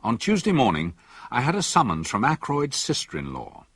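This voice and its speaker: Mystery narrator: English doctor, measured, reflective, first person. Husky, strongly varied pitch, dry sound. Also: male voice, huge pitch swings, fairly bright tone, exaggerated voice. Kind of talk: scripted first-person narration in radio drama.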